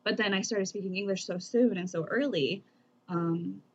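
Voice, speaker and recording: A young woman from the United States whose first language was Vietnamese, chatting candidly in a relaxed way, with lots of filler words and casual swearing. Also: medium pitch, normal speed, dull audio. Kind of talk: casual group chat.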